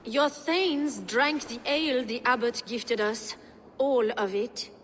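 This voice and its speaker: A woman's voice: fairly high pitch, takes it slowly, slight background noise. An actress playing a poised, bitter noblewoman in a video game, speaking in arch, dry, elegant phrases. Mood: scornful. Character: poised, bitter Frankish noblewoman, unhappy wife. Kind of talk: video game cutscene acting. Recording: long hall reverb, prominent music.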